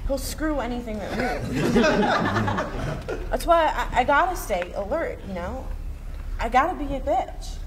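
A woman's voice: a little high, very animated, slow pace, pauses often, reverberant. An actress reading a brash, bitter, foul-mouthed teenage girl at a table read, blunt and sarcastic. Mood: sulky. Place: meeting room, indoors. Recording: noisy room, table read.

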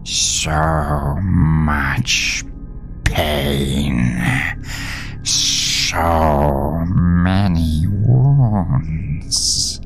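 A grotesque little demon from a horror story, acted out by a male narrator. It speaks in an eerie squawk, stretching each word very slowly, with music behind it. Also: rumbling low, vocal fry, full character voice. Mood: eerie relish. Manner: slow, drawn-out, eerie. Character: small grotesque demon creature of bandages and maggots.

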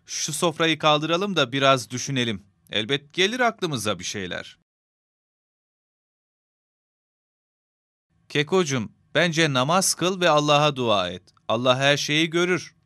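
A crisp, bright voice of a middle-aged father in a children's cartoon, recorded dry. He is kind, patient and devout, guiding his child. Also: mid-range voice, big pitch swings, moderate pace, few pauses, no echo. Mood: encouraging.

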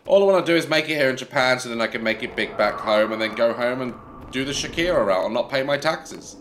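A man's voice: medium pitch, lively intonation, fast, slight background noise. Jumpy man doing game commentary. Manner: jokey, yelps and shouts at scares. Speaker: gaming youtuber.

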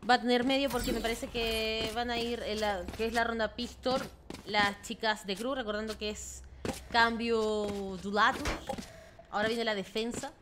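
Woman, young, Chilean accent with Argentine touches, esports caster, high voice, excitable, game noise behind. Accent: Chilean Spanish with some Argentine touches. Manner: excitable, quick bursts of exclamations. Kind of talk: live game commentary. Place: home room, indoors. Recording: clear background noise from game sounds.

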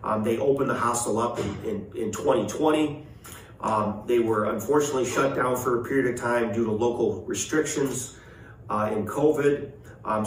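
A man's voice: medium pitch, slight background noise. Man, 50s, informal and enthusiastic reviewer; roomy, distant mic with music underneath. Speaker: hiker and video reviewer. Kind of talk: casual vlog review. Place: indoors in living rooms of a house.

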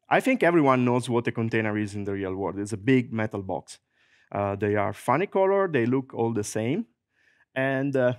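A middle-aged man with an Italian accent giving a lively technical talk in a large conference hall, speaking into a handheld microphone and filling pauses with frequent "uh"s. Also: mid-range voice, clean studio sound. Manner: lively, fillers like "uh", jokes and laughs.

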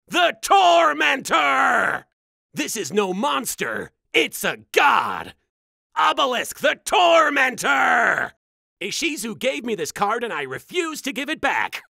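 A man's voice: very high-pitched, gravelly, no room sound, studio quality, voice pushed up, exaggerated voice. A man voicing an arrogant, pompous, rich young card duelist in a bombastic video game parody. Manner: bombastic, shouts attack calls.

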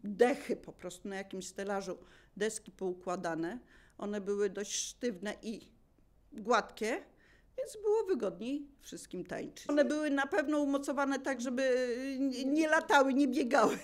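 An older woman casually reminiscing as a local resident, in a chuckling, animated storytelling manner. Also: on the high side, a little slow. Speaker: local resident sharing memories.

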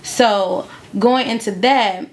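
A woman's voice: on the high side, slow pace, poor audio. Emphatic young woman chatting. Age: 20s. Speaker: interview guest telling a couple's story. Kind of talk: casual chat, storytelling.

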